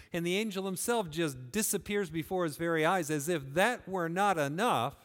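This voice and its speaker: A man from West Michigan preaching a sermon in a church, earnest, pushing phrases up and then letting them fall quiet. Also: on the high side. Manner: earnest, rising and falling emphasis, quiet closing phrases. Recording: long hall reverb.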